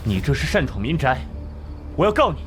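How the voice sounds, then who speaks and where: An actor playing a cold, sharp-tongued forensic genius in an animated drama, disdainful and easily irritated. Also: male voice, mid-range voice, fairly quick. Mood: indignant. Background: music.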